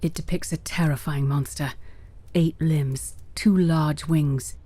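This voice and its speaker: A woman's voice: sits low, fairly even intonation, slow, dark tone. A woman narrating a fantasy story in a scripted, dramatic storytelling style. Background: faint music.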